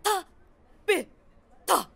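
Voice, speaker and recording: A woman's voice: drawn-out words, pushed and bright. An actress playing a nosy, imaginative middle-aged woman who narrates a comic audio drama with wry, theatrical asides. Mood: amused commentary. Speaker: narrator and main character.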